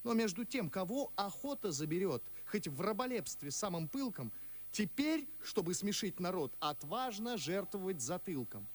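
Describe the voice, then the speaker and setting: A man's voice: sits high, big pitch swings, moderate pace. An actor playing a fiery, sardonic young Russian nobleman, declaiming verse in a radio drama with biting, outspoken passion. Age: young adult.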